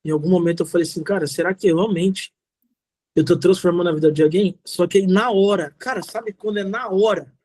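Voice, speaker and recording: A middle-aged man with a Brazilian accent and a rather high voice, recorded dry and close. He talks like a motivational guest speaker, informal and direct, driving key phrases home by repeating them. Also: fairly quick, warm tone, no room sound. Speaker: guest speaker, social entrepreneur. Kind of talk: motivational talk answering audience questions.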